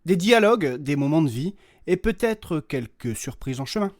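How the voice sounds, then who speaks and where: Lively man reading a podcast intro. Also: a little high, normal speed, no echo, clean studio sound. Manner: upbeat, lively promo delivery. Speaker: podcast creator.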